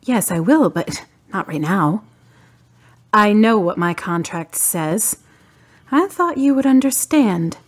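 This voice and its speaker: A woman playing a grieving, polite adult daughter in a radio drama, subdued and husky. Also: medium pitch, expressive, takes it slowly. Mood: frustrated. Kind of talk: amateur radio drama acting.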